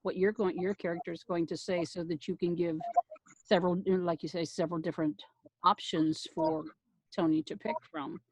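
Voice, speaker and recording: A woman's voice: lowish voice, steady tone, a little fast, darkish tone, breathy edge, no room sound, poor audio. A woman who voice acts, explaining her method in casual panel chat. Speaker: amateur voice actor.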